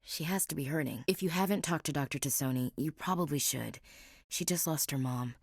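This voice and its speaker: A woman's voice: low voice, a little fast, slightly rough, no echo. A young American woman playing a tough, candid female space marine in a video game, with a frank, dry manner and military politeness. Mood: frank, wry. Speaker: game character, soldier. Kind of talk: naturalistic video game voice acting.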